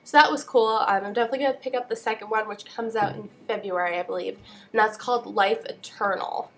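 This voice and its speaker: A woman's voice: mid-range voice, fairly even intonation, fast talker, a bit bright. A young woman with a nasal voice, stuffed up with a cold, chatting casually as she gives book reviews. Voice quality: nasal, congested. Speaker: book vlogger.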